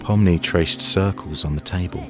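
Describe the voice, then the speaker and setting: A man's voice: low voice, normal speed, a bit bright, faint music behind. A man reading scripted story narration in the third person for an audio drama.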